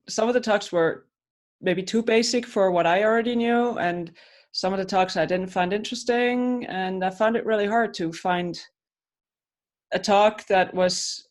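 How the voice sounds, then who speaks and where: A woman from Switzerland in her thirties, co-hosting a casual podcast chat and telling stories with frequent restarts. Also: lowish voice. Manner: chatty, storytelling with restarts. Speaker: podcast co-host, coach.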